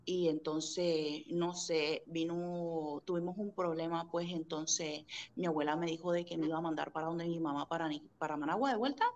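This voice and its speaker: A middle-aged woman from Nicaragua telling family stories in a casual interview over a webcam, animated and laughing often. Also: fairly low pitch, slight background noise. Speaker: guest, interviewer's sister. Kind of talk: casual video-call interview. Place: home room indoors. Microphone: video call webcam.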